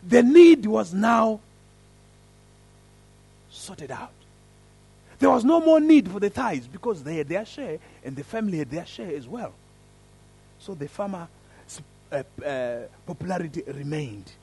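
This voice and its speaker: A man with an African accent preaches in a church service, his voice husky and fairly high. He tells his story with lively swings of pitch and earnest emphasis, building on key words. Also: measured pace, long pauses, no echo. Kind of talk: sermon with prayer and story.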